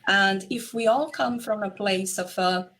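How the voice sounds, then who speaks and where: Brazilian woman, Portuguese native, reflective interview guest, slow deliberate delivery, mid-pitched. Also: a bit bright. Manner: earnest, speaks her mind directly. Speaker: interview guest, relationship coach.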